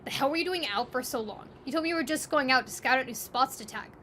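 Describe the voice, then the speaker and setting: A woman's voice: sits high, fast talker, sharp tone, slight background noise. A woman voicing a cocky, sweary, hot-tempered punk boy in his late teens in an audio roleplay. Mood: furious. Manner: brash, sweary, indignant.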